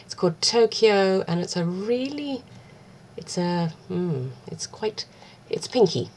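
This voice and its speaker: A woman, husky from a cold, chatting casually through a craft tutorial with rambling, self-deprecating asides. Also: medium pitch, animated, slow, a little mellow, slight background noise. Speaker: art vlogger.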